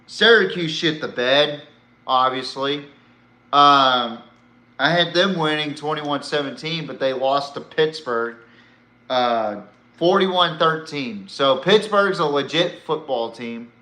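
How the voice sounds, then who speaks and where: A man's voice: medium pitch, bright, forceful tone. A man hosting a sports podcast, giving blunt, profane, opinionated commentary like an exasperated fan. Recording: roomy echo, faint background noise.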